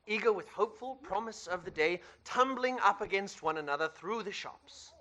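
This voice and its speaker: A man narrating a scripted radio play in an expressive, theatrical storytelling style. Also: sits high, moderate pace.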